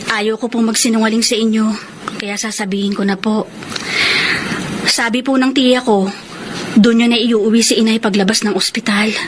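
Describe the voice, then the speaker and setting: A woman's voice: a little high, fairly even intonation, moderate pace, pauses often, natural voice. A woman playing an earnest young housemaid. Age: young adult. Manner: polite, earnest, emotional. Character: young woman, hurt but hopeful housemaid. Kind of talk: radio drama acting. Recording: noisy radio drama recording.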